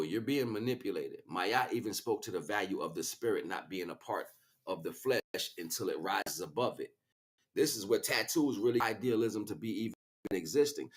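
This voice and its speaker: A man livestreaming an informal spiritual lecture, speaking off the cuff with emphatic repeated phrases and frequent check-ins with his listeners. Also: low voice. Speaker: livestream host, spiritual teacher.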